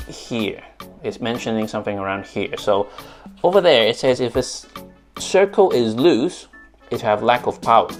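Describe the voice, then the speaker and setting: Hong Kong-accented man, casual unboxing vlogger, filler-heavy chat, faint music under. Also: fairly low pitch. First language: Cantonese. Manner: chatty, many fillers and restarts.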